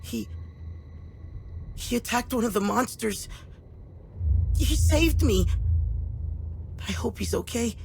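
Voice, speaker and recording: A performer playing a frightened, lost young boy who speaks haltingly in a video game cutscene. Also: mid-range voice, animated, gravelly, darkish tone, faint music behind. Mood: sad, hopeful. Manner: hesitant, halting, slow.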